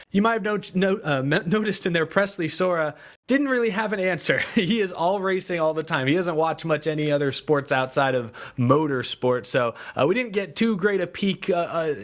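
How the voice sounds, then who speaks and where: A man hosting a casual sports livestream, talking in a halting way with repeated words. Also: on the high side, a bit bright. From Houston, USA. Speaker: livestream host.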